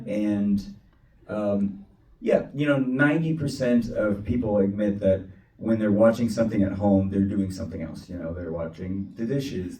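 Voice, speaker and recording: A man's voice: fairly low pitch, dark tone. A man moderating a panel, putting long, conversational questions in a somewhat hesitant, rambling way. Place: panel event room with ambient noise. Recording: echoey room, distant, faint noise.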